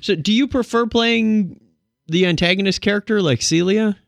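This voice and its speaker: A man's voice: high-pitched, moderate pace, no room sound, clean studio sound. A man hosting an interview, enthusiastic and full of praise, asking playful questions. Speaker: interviewer, podcast host. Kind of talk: casual interview chat.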